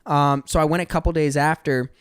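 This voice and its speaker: A man's voice: a little high, steady tone, brisk, smooth, no room sound, studio quality. A man in his twenties with an American accent, telling stories conversationally and earnestly as a podcast guest. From Georgia, USA. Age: 20s. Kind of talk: casual conversational storytelling.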